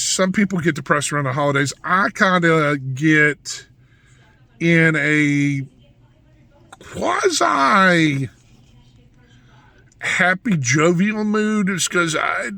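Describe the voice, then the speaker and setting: Raspy, hoarse man rambling in a vlog. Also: a little high, sharp tone. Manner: rambling, with frequent restarts and asides. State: hoarse from yelling. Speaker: vlogger. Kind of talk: casual rambling monologue.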